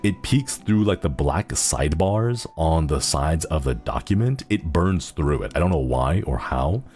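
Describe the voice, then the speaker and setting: A man's voice: rumbling low, expressive, a little slow, darkish tone. A man chatting casually on a livestream, reading viewer messages and rambling with frequent giggles. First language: Xenokuni (in-fiction language). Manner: rambling and easygoing, giggles often.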